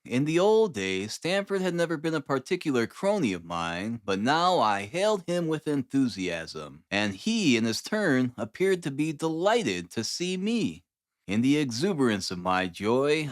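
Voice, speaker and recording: A man reads a classic first-person novel as an audiobook narrator. His pitch moves in a lively, varied way across phrases. The sound is dry, with very little room on it. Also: unhurried, no room sound.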